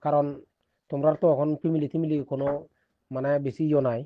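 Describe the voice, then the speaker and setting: A man's voice: a little high, steady tone, fast talker, no room sound. A man with a Sylheti-influenced eastern Bengali accent explaining informally on a phone call, repeating himself and checking he is understood. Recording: dull recorded phone call.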